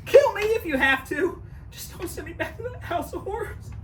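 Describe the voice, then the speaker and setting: A woman's voice: very high-pitched, expressive, normal speed. A teenage girl playing a jumpy, dim, easily cowed robber henchman, melodramatic, in a student reader's theater performance. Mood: frightened, pleading. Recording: noisy live room recording.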